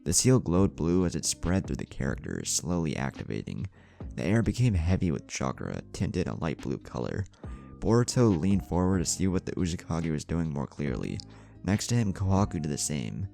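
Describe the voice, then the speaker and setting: Very deep, gravelly man narrating a story. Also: big pitch swings, moderate pace, dark tone. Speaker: fan fiction narrator. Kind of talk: dramatic scripted story narration. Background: music.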